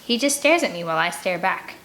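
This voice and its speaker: A woman's voice: lowish voice, lively intonation, brisk, pushed and bright, a little hiss or hum. A woman reading a first-person children's novel aloud as an audiobook, telling the story expressively. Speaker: audiobook reader.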